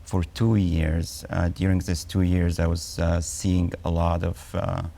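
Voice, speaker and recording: A man in his forties with an Egyptian accent, an oncologist speaking as a guest in a TV health interview, measured and full of ums and uhs. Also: sits low, level tone, vocal fry, dark tone.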